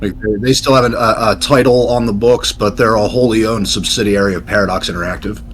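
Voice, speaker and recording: A man's voice: fairly low pitch, steady tone, fast, fairly bright tone, a little hiss or hum. A man taking part as a player, chatting casually and explaining things in a matter-of-fact way. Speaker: player and game writer. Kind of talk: casual chat.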